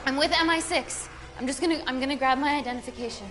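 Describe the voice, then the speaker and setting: A woman's voice: sits high, fast, music in the background, close to an everyday voice. A woman playing a determined agent in a live-action thriller game. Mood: desperate, urgent. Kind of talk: film-style dramatic acting in a game. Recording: clearly noisy background. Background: ambient noise.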